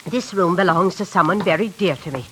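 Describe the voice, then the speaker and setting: An actress playing a wistful, secretive old landlady in a 1940s radio play, speaking softly and in a hushed way, on an old radio recording. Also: lowish voice, a little fast, a little hiss or hum. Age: older.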